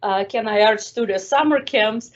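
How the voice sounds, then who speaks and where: A woman's voice: on the high side, moderate pace. A middle-aged woman from Moscow with a Russian accent, a guest on a chat show, telling her story with many fillers. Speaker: guest, art studio owner. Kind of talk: casual interview chat. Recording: dull lo-fi sound.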